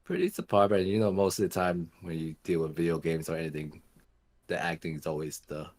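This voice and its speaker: A man's voice: low voice, fairly quick, a little mellow. A man giving opinions as a panelist in casual, loosely phrased, somewhat hesitant talk. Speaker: gaming show panelist.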